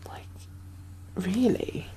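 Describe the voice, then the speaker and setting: A woman playing a caring, uneasy survivor of a zombie apocalypse in a soft-spoken ASMR roleplay, breathy and hesitant, often slipping into whispers. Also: poor audio. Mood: amazed, speculating. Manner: hesitant, musing, halting.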